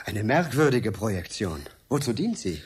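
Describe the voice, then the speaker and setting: An actor in a German radio drama playing a politely persistent journalist with a dry, probing manner. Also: male voice, lowish voice, big pitch swings, slow, slightly rough, heavily acted. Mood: puzzled.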